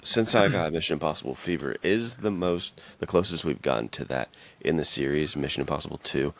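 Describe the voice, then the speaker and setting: A man's voice: very deep voice, brisk, creaky. A man co-hosting a podcast, chatting casually in laid-back, slangy fan talk. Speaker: podcast co-host. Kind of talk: casual chat about films and games.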